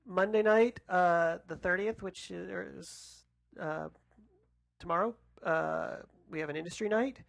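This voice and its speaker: A woman's voice: lowish voice, takes it slowly, creaky. A woman chatting casually as a podcast panelist. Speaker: podcast panelist, stage performer. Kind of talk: casual chat.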